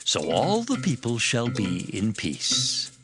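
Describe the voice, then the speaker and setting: An actor playing a cunning royal counselor, eagerly laying out a ruthless plan in a dramatized scripture reading. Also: male voice, on the low side, wildly swooping pitch, takes it slowly, full character voice. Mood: scheming. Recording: music bed behind voice.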